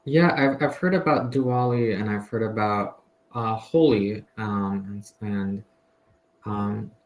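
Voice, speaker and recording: A man's voice: on the low side, slow pace, low-quality recording. A man from the tutoring English in a casual conversation lesson over a video call, friendly and hesitant, with many fillers and restarts. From United States.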